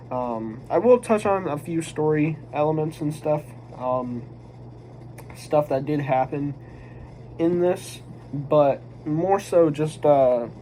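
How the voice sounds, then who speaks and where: A stuffed-up, nasal man talks through a casual review from a room at home. He rambles and restarts, and his voice is mellow and soft-edged. A faint background noise sits under him. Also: on the high side, rough recording. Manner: rambling, hesitant, often restarting. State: congested, nasal. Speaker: manga reviewer. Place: home room with a squeaky office chair.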